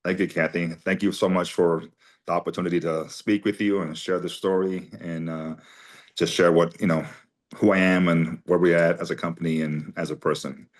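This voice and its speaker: Man in his forties, very deep voice, from Armenia, chatty storyteller, podcast guest. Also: little pitch movement, moderate pace. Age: 40s. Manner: chatty anecdotal storyteller, many fillers. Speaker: guest, company CEO. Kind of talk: conversational storytelling interview.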